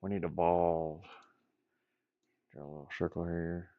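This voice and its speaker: Very deep, gravelly, slow-talking man. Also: steady tone, no echo, phone-quality sound. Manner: muttering to himself in short, offhand phrases. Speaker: game designer demonstrating pixel art. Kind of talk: casual screen-recorded work-along, thinking aloud.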